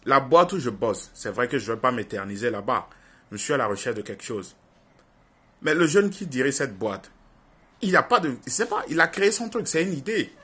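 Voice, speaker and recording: A man's voice: medium pitch, expressive, moderate pace, sharp tone, husky. A young man with a Francophone African accent giving an informal opinion monologue to viewers, speaking earnestly and insistently and repeating phrases for emphasis. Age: young adult. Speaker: online video commentator.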